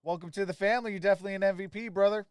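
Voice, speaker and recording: A man's voice: sits high, fairly even intonation, rapid-fire, smooth, no echo, studio quality. A man hosting a live sports stream, calling plays casually and chatting informally with viewers. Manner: informal, addressing viewers by name. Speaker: livestream host doing play-by-play.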